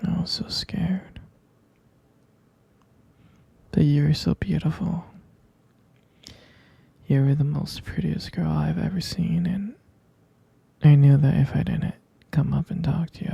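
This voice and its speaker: A man playing a sleepy, affectionate half-vampire boyfriend in an intimate ASMR roleplay, murmuring softly and haltingly in a breathy, whispery voice. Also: fairly high pitch, fairly even intonation, no echo, dull audio, full character voice. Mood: tender, reminiscing. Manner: drowsy, halting, murmured endearments.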